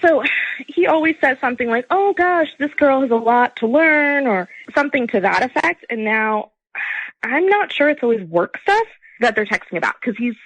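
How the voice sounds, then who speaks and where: Husky higher-voiced woman calling a radio show. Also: expressive, normal speed, fairly bright tone, no room sound, lo-fi sound. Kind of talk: casual phone chat, telling a personal story.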